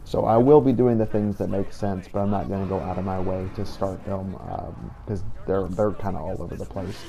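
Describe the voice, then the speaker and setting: A man's voice: deep voice, steady tone, fairly quick, vocal fry, mellow. A man hosting a gaming channel, giving a casual, explanatory spoken introduction to a playthrough with a somewhat hesitant delivery. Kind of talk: casual gameplay introduction commentary. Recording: noticeable background noise.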